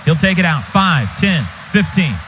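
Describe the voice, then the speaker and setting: An American man doing live football play-by-play for radio, in an excitable, clipped running call of each play. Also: high-pitched, normal speed. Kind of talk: live sports commentary. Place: stadium radio booth. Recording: noisy, dull stadium radio broadcast.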